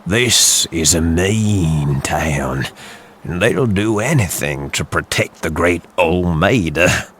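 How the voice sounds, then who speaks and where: A foreboding small-town man for a game cutscene. The voice is rock-bottom low and extremely slow, guarded and knowing, over faint noise. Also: expressive, a bit bright, heavily acted. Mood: quietly menacing. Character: ominous male small-town local, guarded and cautioning. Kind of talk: animated game cutscene acting.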